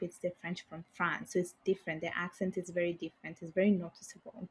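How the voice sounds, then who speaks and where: A woman's voice: lowish voice, darkish tone, breathy edge, rough recording. A young woman, a native speaker of Bengali and Italian, chatting as a guest in an interview with lots of fillers and restarts. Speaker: guest, finance professional. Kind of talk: casual interview conversation.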